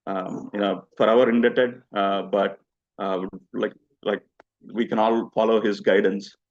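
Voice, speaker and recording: A man gives an unscripted memorial tribute, haltingly searching for words and repeating himself, on a lo-fi recording. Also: lowish voice, fairly even intonation. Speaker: devotee giving a memorial tribute. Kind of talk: unscripted spoken eulogy. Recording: dull lo-fi speech recording.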